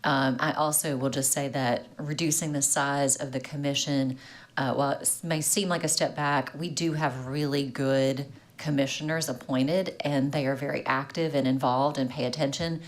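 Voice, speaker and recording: Councilwoman, super low voice for a woman, plain-spoken meeting remarks. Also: steady tone, moderate pace.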